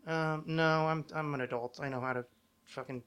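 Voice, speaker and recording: A man's voice: a little high, fairly even intonation, moderate pace, fairly bright tone, clean tone. A man hosting a podcast with casual comedic banter, rambling and sardonic, swearing freely. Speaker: podcast host.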